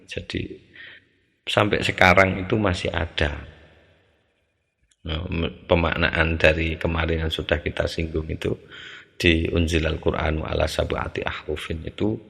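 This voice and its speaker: Very deep gravelly man teaching a text. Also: level tone, unhurried, long pauses, a bit bright, big-room sound. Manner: recites Arabic phrases, glosses them in Javanese, then explains in Indonesian. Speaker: teacher of a classical text study. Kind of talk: reading and translating a classical Arabic text word by word, then explaining.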